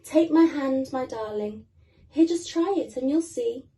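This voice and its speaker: Patient, tender storybook mother penguin acted by a woman, high and soothing, a little off-mic. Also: unhurried, mellow, distant mic, slight background noise. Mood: tender, encouraging. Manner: soothing, coaxing.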